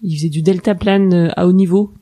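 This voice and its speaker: A woman's voice: lowish voice, steady tone, fairly quick, dark tone. A woman in her thirties from Haute-Savoie in France, telling her story casually and modestly as an interview guest. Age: 30s. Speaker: interview guest, mountain guide and rescuer.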